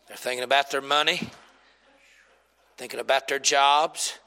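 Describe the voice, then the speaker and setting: God the Father as a preacher voices him, folksy and wry, bright ringing tone, long church echo. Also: medium pitch, steady tone, a little slow, smooth, pitched below the performer's own voice. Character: God the Father, male, searching for a worthy church.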